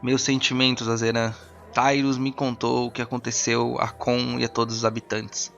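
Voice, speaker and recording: A man voicing a suspicious, courteous council mage with a measured, haughtily polite manner in a fantasy role-playing audio drama. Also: medium pitch, flat delivery, vocal fry, a bit bright, muffled recording, faint music behind. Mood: stiffly apologetic.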